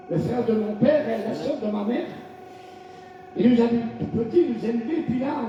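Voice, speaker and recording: A male pastor from France delivering a fiery revival sermon, shouting in bursts and calling for responses from the congregation. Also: high-pitched, slight background noise. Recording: echoey hall, distant lo-fi mic.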